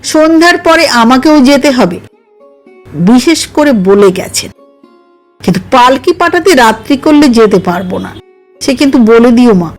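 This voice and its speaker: A woman puts on a genial elder man of an audio story, busy yet smiling. The voice is chatty but slow, high in pitch, with music underneath. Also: lively intonation.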